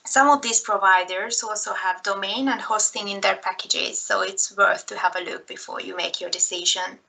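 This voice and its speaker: A woman's voice: mid-range voice, fairly even intonation, moderate pace, creaky, sharp tone, low-quality recording. A woman from Hungary in her thirties with a Hungarian accent, giving a recorded slideshow talk in a careful manner with frequent fillers. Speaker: presenter.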